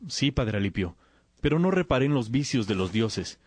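A man playing an earnest, bookish young disciple in a radio drama, with a husky voice and deferential manner. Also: mid-range voice, sing-song, normal speed, a little mellow, no room sound.

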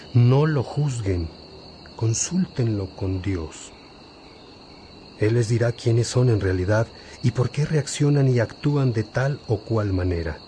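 An actor playing an elderly, gentle bishop who exhorts like a father in a radio drama. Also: lowish voice, slight background noise. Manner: paternal, exhorting, deliberate.

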